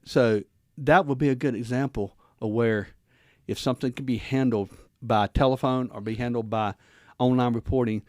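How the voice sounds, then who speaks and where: A man hosting a conversational interview, talking informally and wordily, with halting repeats as he works through his thoughts. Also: mid-range voice, fairly even intonation, no echo, studio quality. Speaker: guest host, former police officer.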